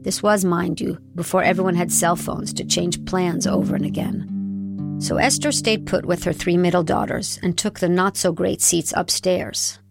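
A woman with a lowish, gravelly voice narrates a documentary story in a reflective, quietly wry manner. Her delivery is scripted and steady. Guitar music sounds clearly behind her. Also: moderate pace. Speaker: journalist narrator.

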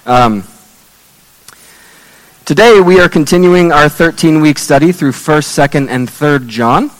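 Male pastor, hoarse, losing his voice. Earnest, measured sermon delivery with a long hall reverb. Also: on the high side. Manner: earnest, measured preaching with scripture readings.

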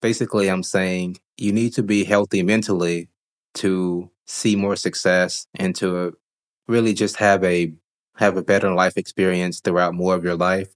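A man hosting a solo podcast, talking calmly and reflectively while getting over a cold. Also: sits low, moderate pace. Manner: calm, reflective, thinks aloud with fillers. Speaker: podcast host.

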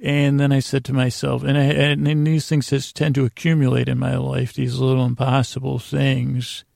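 Very creaky, rambling man. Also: mid-range voice, little pitch movement, darkish tone, no room sound, clean studio sound. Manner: meandering, halting, trailing off mid-sentence; self-deprecating, confessional. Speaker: podcast host. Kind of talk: rambling personal storytelling monologue.

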